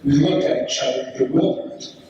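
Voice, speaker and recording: A man's voice: mid-range voice, fast talker, mellow, muffled recording, natural voice. A man playing a British soldier reading his letter home from the trenches in a readers theater performance. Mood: storytelling. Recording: very echoey hall, distant mic, noisy.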